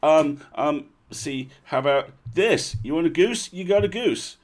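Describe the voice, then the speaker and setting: A man hosting a livestream with casual, chatty introductions and playful, animated asides. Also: fairly high pitch, a little slow. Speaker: livestream host.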